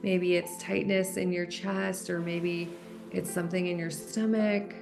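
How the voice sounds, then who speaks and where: A woman with a slightly low voice guides a meditation very slowly over background music. Her manner is gentle and unhurried, and she leaves long pauses between soft, simple instructions. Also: little pitch movement, gravelly.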